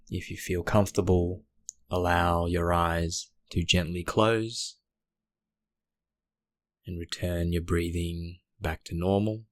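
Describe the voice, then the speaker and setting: A man guiding a meditation in a gentle, unhurried way, speaking in short phrases with long pauses. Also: low-pitched, no room sound, clean studio sound. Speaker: meditation guide. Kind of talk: guided meditation narration.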